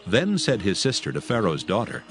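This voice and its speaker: A man narrating scripture in a measured, solemn reading. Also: fairly low pitch, wildly swooping pitch, fairly bright tone, faint music behind.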